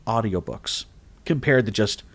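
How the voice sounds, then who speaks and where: A man who narrates horror stories, chatting casually on a livestream, rambling and animated, with lots of restarts. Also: on the low side, unhurried, breathy edge. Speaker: horror story narrator and streamer. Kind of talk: casual livestream chat reading comments.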